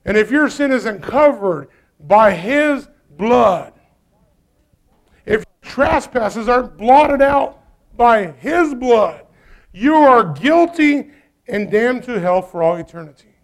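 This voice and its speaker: Gravelly high-voiced man preaching a sermon. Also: lively intonation, slow pace. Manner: swings between loud urgent peaks and low quiet asides. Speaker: pastor.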